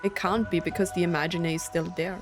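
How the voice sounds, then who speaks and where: Woman gaming commentator over loud music. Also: on the low side, steady tone, fast talker. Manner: reacting in disbelief, thinking aloud. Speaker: gaming streamer. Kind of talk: let's play commentary, reading subtitles aloud. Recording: game music behind the voice. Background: game music and game dialogue.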